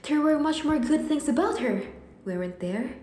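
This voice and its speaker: Mellow woman narrator in echoey room. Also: high voice, animated, moderate pace. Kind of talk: scripted radio drama narration. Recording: echoey room, faint ambient noise.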